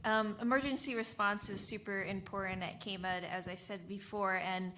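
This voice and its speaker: A woman's voice: medium pitch, steady tone, moderate pace, sharp tone, slight background noise. A woman speaking informatively and off the cuff as a community radio panelist in a group discussion. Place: live panel discussion at a venue.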